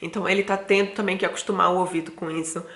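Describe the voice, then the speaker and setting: A woman's voice: lowish voice, steady tone, rapid-fire, a bit bright. A woman in her thirties from Brazil, talking casually to camera at home, with lots of fillers and restarts. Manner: chatty, many fillers and restarts. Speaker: vlogger. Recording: echoey room.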